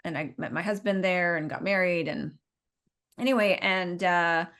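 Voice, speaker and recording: A woman's voice: lowish voice, normal speed, a bit bright. A middle-aged woman with a Canadian accent, telling her story in a chatty, meandering, informal way as an interview guest. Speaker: business owner being interviewed.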